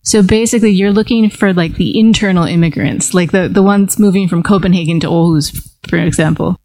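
A woman's voice: fairly low pitch, fairly quick, darkish tone, dry recording, rough recording. A woman hosting a casual roundtable chat, asking friendly follow-up questions with the odd "um". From United States. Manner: friendly, asks follow-up questions, frequent "um" and "sort of". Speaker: podcast host.

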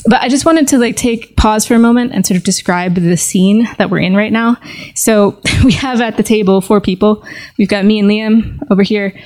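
A woman hosting a casual conversation at a table with friends, talking thoughtfully and pausing with fillers. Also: medium pitch, darkish tone. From United States. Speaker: podcast host. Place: seated at a table with others.

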